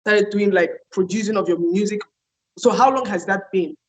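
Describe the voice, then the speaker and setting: A woman's voice: medium pitch, moderate pace. A woman hosting an online TV interview, chatty and enthusiastic, with frequent fillers. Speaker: host.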